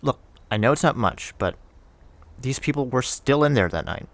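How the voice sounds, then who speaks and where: Man playing an awkward, lively helpful friend. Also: mid-range voice, no room sound, natural voice. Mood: earnest, reassuring. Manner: hesitant, halting. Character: awkward, helpful male friend, nervous and earnest. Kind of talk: conversational audio drama acting.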